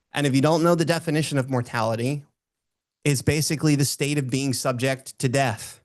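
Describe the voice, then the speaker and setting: A man delivers a motivational talk in a booming voice of medium pitch. He moves between direct address and personal storytelling, stressing key phrases by repeating them. The sound is dry and close, with hardly any room in it. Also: dry recording. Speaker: motivational speaker.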